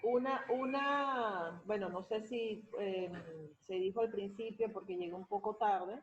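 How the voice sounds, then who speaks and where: A woman's voice: medium pitch, unhurried, a crowd in the background. A woman moderating a casual webinar, hesitant and repeating words as she goes. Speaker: moderator reading audience questions. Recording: dull lo-fi online call audio.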